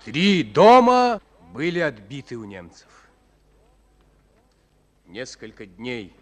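An actor narrating a war tale in a solemn, scripted radio drama style. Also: male voice, medium pitch, lightly acted.

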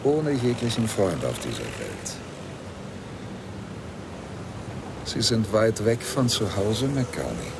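A man's voice: fairly low pitch, wildly swooping pitch, measured pace, gravelly, heavy background noise, heavily acted. An actor playing a probing, insistent male lawyer who tells his own story in a German radio play, with a dry, pressing manner. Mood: pressing, sceptical. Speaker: radio drama actor, narrator.

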